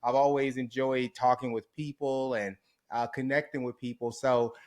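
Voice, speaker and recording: A man from Houston, Texas, talks as a guest in a casual interview. His voice sits at an ordinary middle pitch. He tells his story in an upbeat, reflective way with an easy flow. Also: flat delivery, moderate pace, darkish tone.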